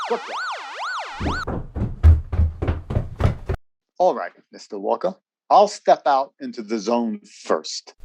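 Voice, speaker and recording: An actor playing a brisk, guarded, courteous army major in a radio drama, with a formal, clipped, official way of speaking. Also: male voice, a little high, gravelly, noisy background, heavily acted. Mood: firm, brisk.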